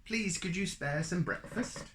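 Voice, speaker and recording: A man's voice: sits high, a little slow, a bit bright, slight background noise, voice pushed up. A man voicing an eager, polite young boy in a storybook reading.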